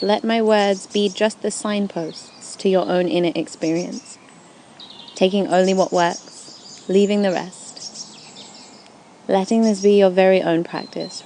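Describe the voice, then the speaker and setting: A woman guiding a yoga nidra meditation in a soothing, unhurried way with gentle, deliberate phrasing, recorded outdoors. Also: medium pitch, flat delivery, slight background noise. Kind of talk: guided meditation. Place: outdoors in a forest at dusk. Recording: outdoor recording with faint birdsong. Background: birdsong and forest ambience.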